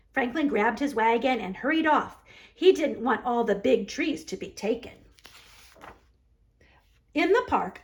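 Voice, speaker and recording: High-voiced woman reading a children's story. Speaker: library storyteller.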